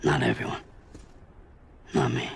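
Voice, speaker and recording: A man playing a weary, withdrawn loner courier in a video game cutscene, terse and hushed with a gravelly voice. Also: a little slow, slight background noise, lightly acted. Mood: bleak, resigned. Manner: terse, subdued. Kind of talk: cinematic game dialogue, understated. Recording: music behind the voice.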